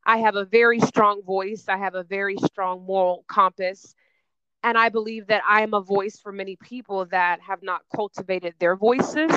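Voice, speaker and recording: A woman answers interview questions in an emphatic, self-assured voice. Her tone is smooth and resonant. The sound is dry and a little dull, with no room around it. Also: mid-range voice, fairly even intonation, moderate pace, sharp tone, no room sound. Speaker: guest, fitness and wellness coach.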